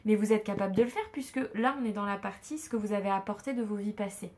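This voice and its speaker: A woman's voice: mid-range voice, quick pace, a little mellow. Stuffy-nosed woman reading tarot. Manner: hesitant, many fillers and restarts. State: stuffy nose from a cold. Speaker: tarot card reader. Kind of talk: casual spoken tarot reading to viewers.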